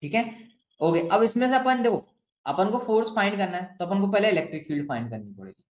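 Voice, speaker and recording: A man with an Indian accent teaching step by step as a physics teacher, asking and answering his own questions and slowly dictating what to write. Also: high voice, lively intonation. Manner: asks and answers own questions, frequent check-ins; slowly dictates written sentences. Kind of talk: step-by-step classroom lesson mixing Hindi and English. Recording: echoey room.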